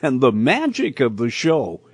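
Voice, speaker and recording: Older American male narrator, unhurried reminiscing storyteller, very expressive pitch, dry sound. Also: slightly rough, dry recording. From Indiana, United States. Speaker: host and storyteller. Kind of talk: scripted personal narration.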